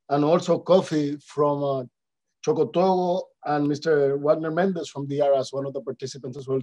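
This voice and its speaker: A man's voice: on the high side, darkish tone, low-quality recording. A man from the Dominican Republic with a Spanish accent, a native Spanish speaker giving a polite webinar presentation with frequent fillers and restarts. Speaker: panelist representing an international organization.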